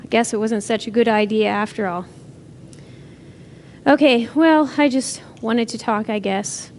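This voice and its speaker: A woman's voice: a little high, unhurried, a little mellow, a little hiss or hum. A woman playing an earnest, caring aunt who doubts herself, in natural dramatic dialogue. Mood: wistful.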